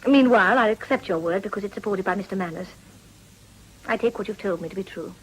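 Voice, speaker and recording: An actress playing a proud, determined young upper-class wife in a period radio drama, speaking formally and insistently. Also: female voice, mid-range voice, fast talker, a little hiss or hum, natural voice, low-quality recording. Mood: fervent. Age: young adult.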